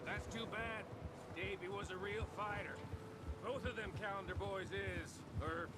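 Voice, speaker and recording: A sly American gunslinger in a western game, high-voiced for a man and very slow in his drawl. He comes across as insolent, with loud noise all around the voice. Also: fairly even intonation. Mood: sly, nonchalant. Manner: drawling, unhurried. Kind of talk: dramatic western video game acting.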